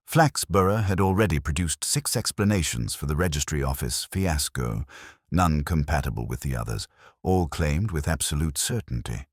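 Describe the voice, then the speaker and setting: A man narrating a scripted comic mystery story in a measured, wry, literary way. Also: extremely low pitch, dry recording, clean studio sound. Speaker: audiobook narrator.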